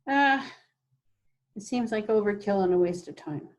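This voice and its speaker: A woman with a medium-pitched voice talks as a client in a coaching session. Her delivery is conversational, as in a roleplay followed by reflection. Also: lively intonation, moderate pace.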